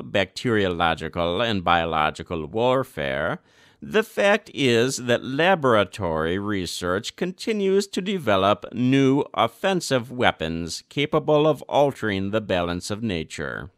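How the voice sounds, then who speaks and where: A man reading a formal document aloud as scripted narration, with measured, deliberate phrasing. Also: medium pitch, fairly bright tone, no echo, studio quality. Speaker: volunteer audiobook reader.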